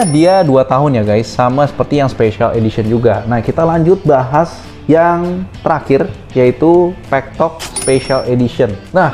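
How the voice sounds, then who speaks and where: A man with an Indonesian accent presenting products in a casual, informal sales pitch, addressing viewers like friends. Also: darkish tone. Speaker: helmet shop presenter.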